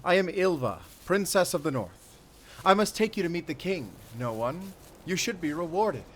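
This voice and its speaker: A man's voice: high-pitched, expressive, moderate pace, a bit bright, voice pushed up. A man voicing a noble, gracious princess in an audio drama tale, with a regal, earnest, declamatory delivery. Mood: commanding.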